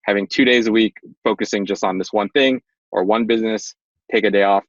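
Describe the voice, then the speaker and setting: A young man in his twenties with a low voice talks through his work as a podcast guest, loose and rambling and full of fillers. The sound is dry and a little dull, like a modest home setup. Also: brisk, no echo. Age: 20s. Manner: relaxed, rambling explainer with many fillers. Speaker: guest, startup tech executive. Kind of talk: casual interview chat.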